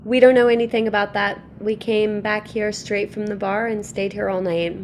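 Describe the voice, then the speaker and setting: A woman's voice: medium pitch, steady tone, normal speed, a little mellow, slight background noise, natural voice. An actress playing a firm, unflappable woman attorney, cool and assertive, in a staged play reading. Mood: cool, defiant.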